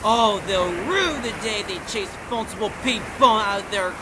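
A man's voice: sits high, moderate pace, close to an everyday voice. A man voicing a greedy, pompous, hot-tempered little cartoon creature in a melodramatic, indignant amateur character demo. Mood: pompous indignation. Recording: clear background wind and noise.

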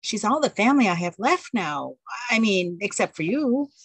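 A woman's voice: mid-range voice, sing-song, moderate pace, breathy edge, no room sound. A woman voicing a young, anxious wife who apologizes often and speaks eagerly, in a dry recording. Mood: worried.

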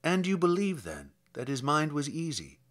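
A man's voice: on the high side, huge pitch swings, normal speed. A man playing a grieving traveller who anxiously asks after a lost brother in a dramatic poetry reading, hesitant and emotional. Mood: anxious, subdued.

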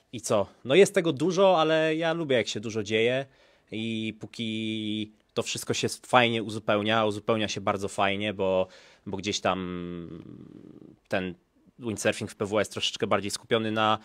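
Male athlete, 30s, low-pitched, loose conversational answers, handheld mic, dry room. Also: no echo. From Poland. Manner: relaxed, chatty, many fillers and repeats. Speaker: pro windsurfer. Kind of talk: press conference answer. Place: small conference room, indoors. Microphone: handheld microphone.

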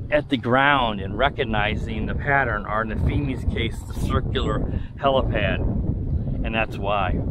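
A man giving casual, rambling commentary while flying a drone outdoors, with a furry windscreen on his mic. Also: mid-range voice. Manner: chatty, rambling, many fillers and restarts. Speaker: drone reviewer. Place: outdoors in a windy field. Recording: loud wind noise in mic.

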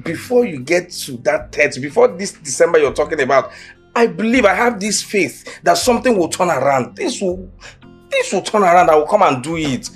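Brief: drama, male actor as a cash-strapped boyfriend, rough gravelly tone, loud outbursts. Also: sits high, very animated, brisk, soft background music. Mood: pleading. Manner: pleading then shouting in bursts. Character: struggling young man, defensive and hot-tempered. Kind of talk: Nigerian film drama acting.

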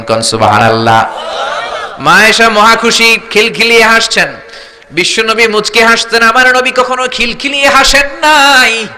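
A man delivers a fervent Islamic sermon in a high-pitched, extremely bright and forceful voice. He tells devotional stories in an emphatic, declamatory style, built around prompting his listeners to answer. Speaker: Islamic preacher. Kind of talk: fervent sermon storytelling with call-and-response to a crowd.

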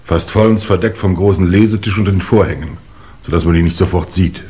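A man plays a police chief inspector in a vintage radio mystery. The voice is low, polite and dryly matter-of-fact, composed in its delivery. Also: brisk, dark tone, close to an everyday voice. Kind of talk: 1950s German radio crime play acting.

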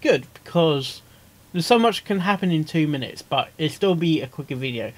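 A man with a slightly high voice gives casual reaction commentary as a host. He rambles and repeats himself as he talks. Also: expressive, normal speed. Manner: rambling, repetitive. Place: small cluttered room indoors.

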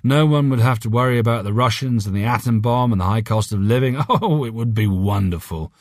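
A man's voice: medium pitch, a little fast, dry recording, studio quality, voice pushed up, close to an everyday voice. Man acting out a drunk, rambling, cynical rocket scientist. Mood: gleeful, warming up. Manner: rambling, pitying, sardonic.

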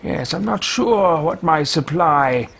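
Man voicing a pompous, lilting, slow-talking greedy mage musing aloud; home recording. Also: a little high, expressive, creaky, a bit bright, slightly rough, exaggerated voice. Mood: haughty. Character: haughty male mage-thief, self-talking, greedy. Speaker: let's play host. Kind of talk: in-character game roleplay. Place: home room with bookshelves.

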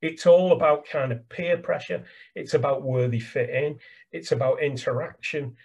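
A man's voice: on the high side, fairly even intonation, moderate pace, a little mellow, slightly rough, highs cut off. An older man with an English accent, chatting enthusiastically over a video call about birdwatching, repeating words as he talks. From England. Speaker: birdwatching guide. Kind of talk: casual video call chat. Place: small room at home. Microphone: webcam on a video call. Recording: laptop video call audio.

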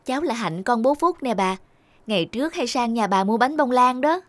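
A woman's voice: fairly high pitch, expressive, moderate pace, dry recording, lightly acted. A young woman playing an earnest grown daughter back from studying abroad in a cartoon. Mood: friendly. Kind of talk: Vietnamese cartoon dubbing.

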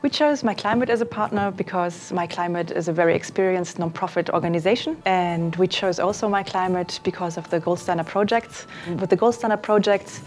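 Earnest woman in her 40s, lowish voice, interview on sustainability goals, faint music underneath. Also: brisk, hardly any pauses. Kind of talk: documentary interview.

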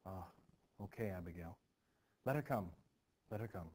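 A man's voice: low-pitched, lively intonation, moderate pace, a little mellow. A man reading the part of an anxious, stern Puritan preacher and father, urgent and emphatic, in a stage play. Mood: stern, defensive.

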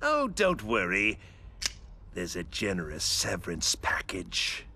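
An actor playing a mocking, theatrical clown villain in a video game, playful and mock-polite. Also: male voice, low voice, huge pitch swings, slow, bright, forceful tone, a little hiss or hum, heavily acted. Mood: cruelly playful. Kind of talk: video game cutscene acting.

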